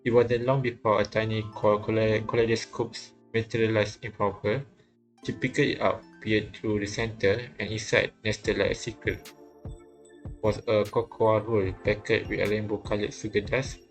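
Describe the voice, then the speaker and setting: Male game narrator, low register with a gravelly edge, halting read-aloud, frequent stumbles and restarts. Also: level tone, soft background music, poor audio. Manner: often stumbles and repeats phrases. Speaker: host reading a visual novel aloud.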